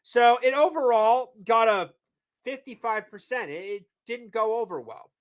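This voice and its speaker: A man from New England co-hosting a casual movie review chat, rambling and halting with fillers and restarts. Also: very high-pitched, clear, resonant tone. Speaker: podcast co-host.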